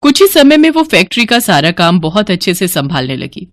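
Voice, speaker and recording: A woman's voice: lowish voice, sing-song, a little fast, dry recording. A woman narrating a scripted moral story as a storyteller.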